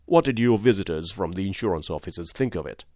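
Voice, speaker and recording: A man reading an audiobook, voicing a grave, skeptical lawyer who speaks in a dry, measured, gently ironic way. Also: low voice, animated, dry recording. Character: grave, skeptical middle-aged male lawyer. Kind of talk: audiobook reading of a classic novel.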